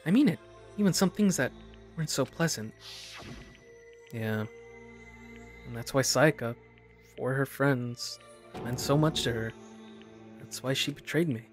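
An earnest teenage schoolboy read by a man, brooding and dramatic. He speaks haltingly in a game's visual novel style, over background music. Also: medium pitch, animated, lightly acted. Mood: betrayed, downcast. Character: earnest, anguished teenage schoolboy protagonist of a mystery game.

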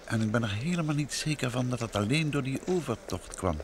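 A man acting a calm, inquisitive gentleman detective in an old Dutch radio drama. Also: mid-range voice, a little fast, gravelly. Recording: noisy vintage radio drama recording.

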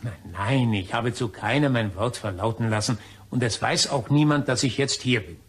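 Crime audio drama: actor as stern company man, slightly rough voice, formal, principled, unyielding. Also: mid-range voice, lightly acted. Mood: firm. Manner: formal, deliberate, insistent. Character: stern, self-righteous office colleague confronting an embezzler. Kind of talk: crime radio play acting.

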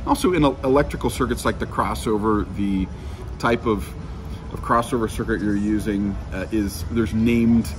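A man in his thirties giving an informal technical explanation as an engineer being interviewed, halting as he thinks aloud. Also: lowish voice, background noise. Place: outdoors under a covered walkway.

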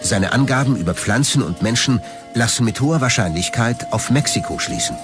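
A man's voice: medium pitch, expressive, brisk. A man reading scripted documentary narration. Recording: music behind the voice. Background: background music.

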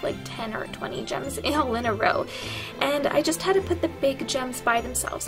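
A woman in her thirties with a high voice walks viewers through a craft project. Her delivery is casual, like a home DIY tutorial, and music plays behind her. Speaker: DIY craft video host.

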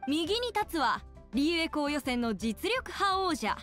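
A woman's voice: sits high, sing-song, unhurried, fairly bright tone, soft background music, exaggerated voice. A woman voicing a confident young female contest emcee, announcing formally in a Japanese anime game. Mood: confident, ceremonious.

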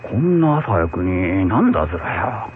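A man's voice: low voice, big pitch swings, moderate pace. A man playing a plain-spoken village husband in a folktale radio drama. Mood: doubtful. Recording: muffled lo-fi, noisy with hum.

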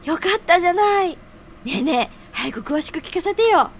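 Woman playing a high, fretful, impatient lady friend. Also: animated, moderate pace, slight background noise. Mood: excited. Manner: pouting, nagging, exclamatory. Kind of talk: audio drama acting.

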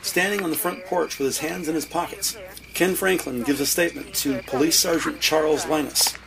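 Gravelly man narrating an audio drama. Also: on the high side, music in the background, rough recording. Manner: descriptive, measured present-tense storytelling.

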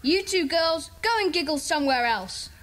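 A woman voicing a bossy, eager schoolboy club leader with an English accent, brisk and commanding, in a children's audio drama. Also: high-pitched, lively intonation. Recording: noisy cassette audiobook transfer.

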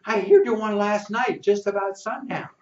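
A man voicing a scruffy, boastful country boy of about ten with a squeaky voice and rustic ungrammatical talk. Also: fairly even intonation, moderate pace, a little mellow, natural voice. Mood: spooked. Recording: roomy and distant, muffled.

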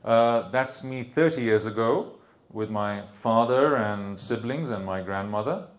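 A man in his fifties with a British accent, giving a conference lecture into a handheld mic, relaxed and hesitant with many ums and restarts. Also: lowish voice, measured pace. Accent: British English. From Sri Lanka. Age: 50s. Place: conference room with projector screen. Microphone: handheld microphone.

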